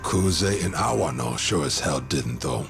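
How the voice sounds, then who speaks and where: Sly gangster boss for a game: deep, swinging pitch, needling delivery, gravel edge. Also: male voice, animated, measured pace, soft background music, full character voice. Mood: dry, scornful. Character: sly, needling senior yakuza officer. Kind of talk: video game English dub acting.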